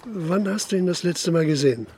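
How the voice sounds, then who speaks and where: An actor playing a methodical, insistent police investigator in a German radio crime drama. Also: male voice, sits high, animated, brisk, darkish tone, husky. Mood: probing. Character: persistent, probing male police investigator narrating his inquiry. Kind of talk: German radio drama acting, interrogation and narration.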